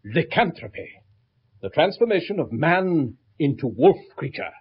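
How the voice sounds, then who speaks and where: An actor playing an eccentric, earnest professor of folklore with an English accent, lecturing emphatically in a 1970s British radio drama. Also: male voice, a little high, very animated, unhurried, slightly rough, heavily acted. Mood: grave, intent. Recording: dull, band-limited old radio recording.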